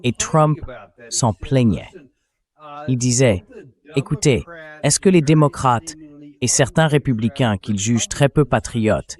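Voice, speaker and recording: A man's voice: medium pitch, a little mellow. A man's synthetic translation voice hosting a political interview in a conversational, questioning way. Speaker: interview show host.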